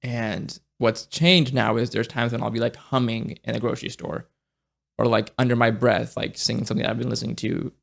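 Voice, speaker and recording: Gravelly, halting young man. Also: mid-range voice, flat delivery. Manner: halting, with many restarts and fillers; reflective, searching for words. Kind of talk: casual conversation.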